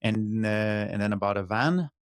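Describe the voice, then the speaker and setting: A man's voice: lowish voice, animated, normal speed, no room sound, studio quality. A man from Quebec, a native French speaker with a Quebec French accent, casually telling his own story as a podcast guest. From Quebec, Canada. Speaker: podcast guest, former downhill mountain bike racer.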